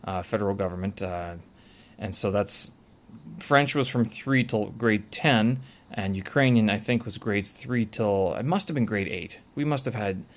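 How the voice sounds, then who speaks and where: A man's voice: fairly low pitch, unhurried. A man talking casually to camera in a rambling way, with many filler sounds and self-corrections. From Canada. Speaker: vlogger.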